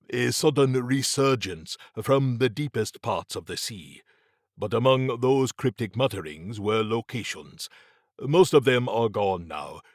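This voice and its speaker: Man voicing a wry, formal gentleman occultist. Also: medium pitch, dry recording, clean studio sound, natural voice. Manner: formal, deliberate, understated. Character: wry, erudite British gentleman occultist, measured, faintly pompous. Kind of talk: audiobook character acting.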